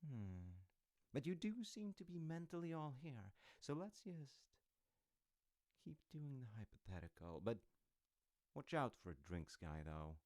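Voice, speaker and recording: A man playing a smooth-talking, slyly treacherous secret agent in an intimate audio roleplay, casual and halting, teasing as he drops into near-whispers. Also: very animated, darkish tone, no echo, clean studio sound. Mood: smug, menacing. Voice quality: deep, creaky low voice. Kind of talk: intimate one-sided audio roleplay acting.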